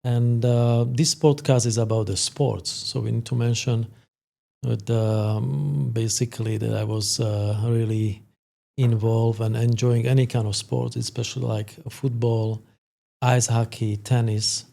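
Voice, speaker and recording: A man in his fifties with a Slovak accent, a psychologist explaining things as an interview guest in a halting, hesitant way. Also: mid-range voice, little pitch movement, gravelly, warm tone. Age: 50s. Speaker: sports psychologist, podcast guest.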